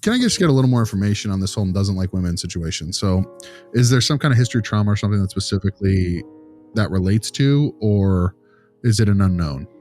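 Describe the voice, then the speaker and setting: A man's voice: on the low side, animated, quick pace, a little mellow, soft background music, lightly acted. A man playing an inquisitive, informal behavior consultant in an improvised role-play, asking colloquial questions and repeating himself. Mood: probing.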